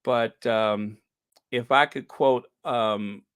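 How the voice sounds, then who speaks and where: Slow, meandering male livestream host. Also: medium pitch, steady tone, fairly bright tone, clear, resonant tone, dry recording, clean studio sound. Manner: playful, joking, mock-indignant theatrical delivery. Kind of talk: casual livestream chat.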